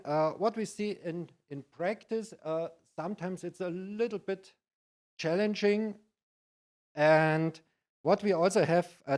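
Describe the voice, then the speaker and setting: A middle-aged or older man with a Bavarian German accent, giving a conference presentation in halting, non-native English. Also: high-pitched, a little mellow. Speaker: conference speaker, IT practitioner.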